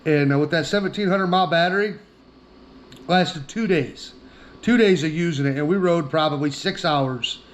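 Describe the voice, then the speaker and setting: A man's voice: fairly high pitch, normal speed. A middle-aged man giving a casual, plainspoken product demonstration as an outdoor gear reviewer, often repeating himself for emphasis.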